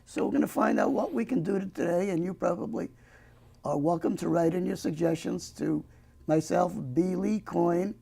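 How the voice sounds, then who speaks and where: A man's voice: sits high, fairly even intonation, moderate pace, creaky, mellow, slightly rough. An older man hosting a local TV talk show, folksy and prone to joking asides. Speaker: host.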